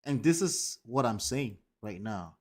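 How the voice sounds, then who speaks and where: A man's voice: mid-range voice, expressive, unhurried, darkish tone. A man hosting a live stream, talking emphatically to his viewers and often checking in with "okay?" and "right?". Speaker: livestream host. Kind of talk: casual commentary to a live chat.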